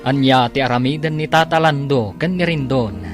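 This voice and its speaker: Male announcer, Filipino accent, mid-pitched, radio drama narration, faint guitar music behind. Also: moderate pace.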